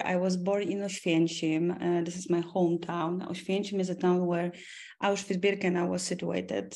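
A woman in her forties from Poland, an academic answering interview questions in a measured way, with frequent restarts and fillers. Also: fairly low pitch, a little mellow. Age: 40s. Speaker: academic and foundation president.

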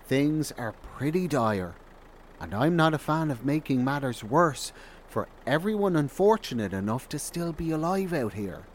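A man's voice: medium pitch, darkish tone. A man playing a shrewd roadside wanderer in an audio drama, with a knowing, sardonic drawl. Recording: faint car engine noise.

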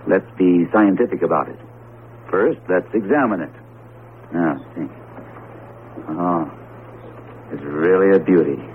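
A genial, skeptical American professor in a radio drama, a man with a fairly low voice. He explains things in a breezy, wry way. The broadcast is narrow and muffled, with faint noise. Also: measured pace, long pauses. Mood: reasoning. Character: genial, skeptical male psychology professor, hearty and hungry. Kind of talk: old-style radio drama acting.

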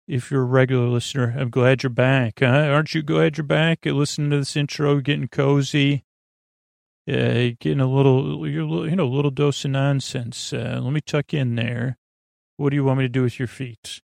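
Creaky-voiced man rambling a bedtime monologue. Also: mid-range voice, a little mellow. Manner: meandering, halting, digressive, stumbling over words; soothing, lulling. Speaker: podcast host. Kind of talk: rambling bedtime monologue to help listeners sleep.

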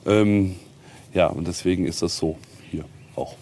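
A man's voice: low-pitched, a little slow, warm tone. A man guiding an informal castle tour outdoors, explaining history in a hesitant way with many ums. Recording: noisy outdoor location recording.

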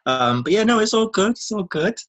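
A man's voice: sits high, sing-song, fairly quick, a bit bright. A man in his thirties, raised in Wales, chatting casually as a podcast guest, with many ums and easy laughs. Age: 30s. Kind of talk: casual interview chat.

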